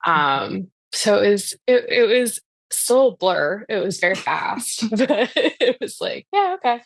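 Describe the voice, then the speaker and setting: A woman's voice: medium pitch, big pitch swings, unhurried, no room sound. A woman podcast guest sharing personal anecdotes in casual, conversational speech.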